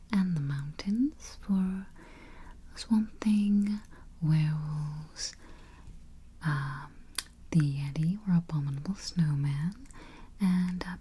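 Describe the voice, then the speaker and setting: A woman voicing a polite female vampire travel agent in soft, breathy, mostly whispered ASMR roleplay, speaking gently and close to the microphone. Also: fairly low pitch, heavily acted. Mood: calm, matter-of-fact. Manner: gentle, unhurried, hesitant murmured hums.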